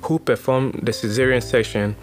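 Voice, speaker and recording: A man's voice: mid-range voice, steady tone, normal speed. A Ghanaian man hosting a podcast, reading news stories aloud and then reacting to them with exclamations and comments. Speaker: podcast host.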